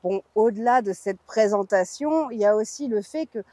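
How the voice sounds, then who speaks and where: A woman's voice: mid-range voice, moderate pace, dark tone, dry recording. A woman from France hosting a vlog, chatting informally with a playful, candid manner. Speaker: vlog host. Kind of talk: chatty theme park news vlog.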